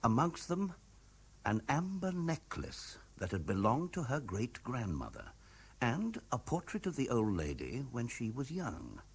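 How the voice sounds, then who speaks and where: An actor with a British accent playing a troubled, earnest middle-aged husband in a 1970s radio drama, hesitant but insistent. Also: male voice, medium pitch, huge pitch swings, measured pace, husky, exaggerated voice.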